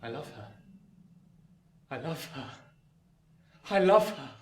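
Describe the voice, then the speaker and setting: A man acting an earnest, lovestruck young gentleman in a radio play, polite and eager. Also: medium pitch, expressive, slow pace. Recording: roomy echo, distant mic, faint noise.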